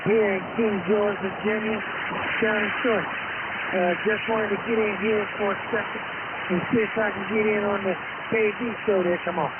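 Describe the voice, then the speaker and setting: A man's voice: high-pitched, flat delivery, moderate pace. A male amateur radio operator calling in over shortwave radio, exchanging callsigns and signal details. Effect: shortwave radio transmission. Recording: very noisy, narrow-band shortwave radio signal.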